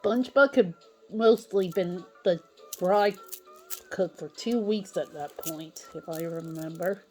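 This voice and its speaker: A woman narrating an amateur fan audio drama, reading haltingly with stumbles and restarts. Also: lowish voice, darkish tone, soft background music. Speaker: narrator and performer of all parts.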